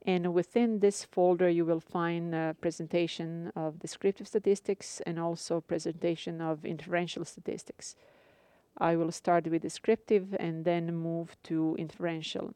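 A woman with a Croatian accent lectures her class over a live broadcast, speaking carefully and pausing often on fillers. Her voice is mellow, low and smooth. The studio sound is dry and close. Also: little pitch movement, dry recording. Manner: careful, measured, frequent filler pauses. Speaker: instructor. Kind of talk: live radio lecture to students.

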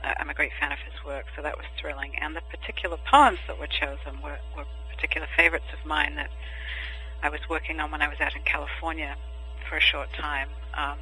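Guy poet on a podcast, really bright tone, lots of ums, faint hum behind. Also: a little high, little pitch movement, quick pace, low-quality recording. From England and Germany. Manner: thoughtful, hesitant, many ums and restarts. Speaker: poet, interview guest. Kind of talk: podcast interview answers.

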